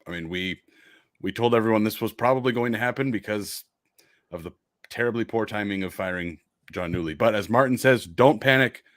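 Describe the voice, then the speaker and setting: A man's voice: fairly low pitch, fairly quick, no room sound, studio quality. A man co-hosting a casual sports podcast, with a powerful voice that carries. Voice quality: powerful, projecting voice. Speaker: podcast co-host.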